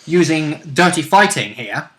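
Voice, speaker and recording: A man's voice: on the high side, lively intonation, unhurried, fairly bright tone. A man co-hosting a casual game discussion, explaining rules in a matter-of-fact, technical way.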